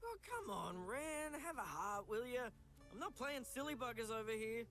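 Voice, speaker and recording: A man's voice: very high-pitched, very animated, moderate pace, fairly bright tone, soft background music, heavily acted. A man playing a brash, cheeky young student who banters in heavy slang. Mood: boisterous.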